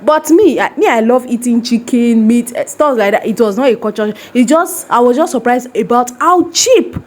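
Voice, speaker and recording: Nigerian woman vlogging, slightly high voice. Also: normal speed. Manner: lively storytelling with Nigerian English phrasing. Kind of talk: casual reaction vlog.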